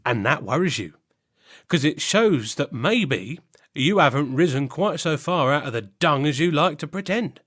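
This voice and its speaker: A man's voice: on the high side, lively intonation, measured pace, a bit bright. A man playing a cheery, chummy British peasant in a comic period audio drama, plain-spoken and cheeky.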